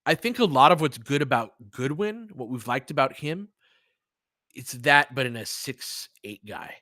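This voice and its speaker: Casual man talking hoops, bright voice. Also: medium pitch, animated, moderate pace, no echo, clean studio sound. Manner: conversational, informal slang, thinking aloud. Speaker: sports podcast host. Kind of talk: casual live basketball commentary, replying to chat.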